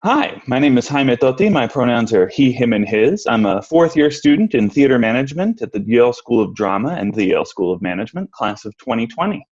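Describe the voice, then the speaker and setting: A man's voice: medium pitch, sing-song, brisk, hardly any pauses, darkish tone. A young man, a graduate student, answering casually and somewhat hesitantly in an interview. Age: young adult. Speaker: theater management graduate student. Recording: slightly muffled interview sound.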